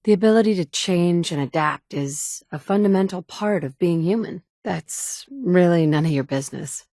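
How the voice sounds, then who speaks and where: Actress for a determined mother in a game: blunt, dry-witted, lower register, close dry booth read. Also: moderate pace, mellow, no echo, lightly acted. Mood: determined, wry. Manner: dry wit, blunt and curt when annoyed. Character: determined mother searching for her son, wry. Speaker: player character. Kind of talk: game dialogue lines. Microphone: close studio mic.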